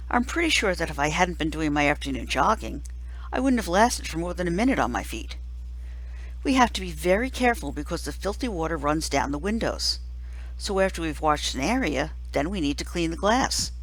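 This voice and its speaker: A woman reading a first-person children's novel aloud as scripted narration, in a measured storytelling manner. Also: fairly low pitch, breathy edge, rough recording. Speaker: reader, teacher.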